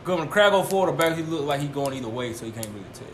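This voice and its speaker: A man's voice: a little high, lively intonation, rapid-fire. A man in his twenties chatting casually, joking and crude. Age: 20s. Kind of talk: casual chat. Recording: echoey room with noise.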